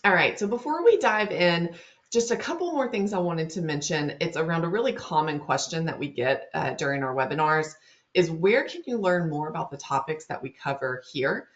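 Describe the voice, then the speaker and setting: A woman's voice: low-pitched, lively intonation. A woman in her thirties with a Southern US accent, hosting a marketing webinar in a friendly, chatty way. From Louisiana, US. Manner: friendly, chatty, talks fast. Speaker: webinar host. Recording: dull lo-fi web-call audio.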